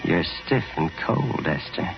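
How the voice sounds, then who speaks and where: An actor in an old-time radio drama playing a nervous, lonely, murderous department-store window dresser who stammers and hesitates. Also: male voice, deep voice, lively intonation, a little slow, vocal fry, music in the background, heavily acted. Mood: eerie, brooding. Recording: muffled, hissy vintage radio recording.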